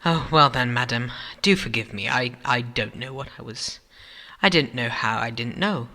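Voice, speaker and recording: Man acting a sharp, pompous Time Lord coordinator. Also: mid-range voice, normal speed, big-room sound. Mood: flustered apology. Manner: pompous, formal, commanding. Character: stern, officious male Time Lord agency coordinator. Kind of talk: sci-fi audio drama acting.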